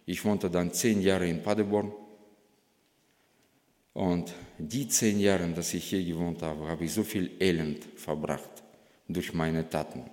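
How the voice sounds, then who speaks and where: Deep-voiced man with Russian accent, reverberant hall. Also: fairly even intonation, measured pace, a little mellow. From Kazakhstan. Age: 40s. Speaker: guest speaker giving testimony at church. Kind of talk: personal testimony to a congregation. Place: large church hall. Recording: long reverb of a large hall.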